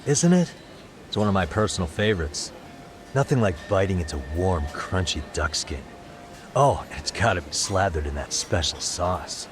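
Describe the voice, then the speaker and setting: An actor playing a laid-back, affable private detective in a video game, speaking casually. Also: male voice, low voice, lively intonation, normal speed, noisy background. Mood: chatty, playful. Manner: casual, easygoing. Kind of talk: video game cutscene voice acting.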